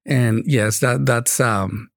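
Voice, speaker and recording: Gravelly Mexican-accented man, halting. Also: mid-range voice, no room sound, clean studio sound. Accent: Mexican Spanish. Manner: halting, many fillers and restarts. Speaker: parish choir singer, guest. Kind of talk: casual interview conversation.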